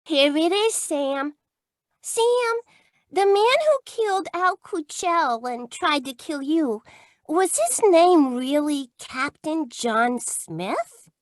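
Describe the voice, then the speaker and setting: Old-time radio secretary: sweet, wide-eyed, adoring, swooping melodic lines, dry sound. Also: female voice, high voice, expressive, slow, no room sound. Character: Effie, sweet, naive, adoring young female detective's secretary. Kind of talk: 1940s radio comedy-drama acting.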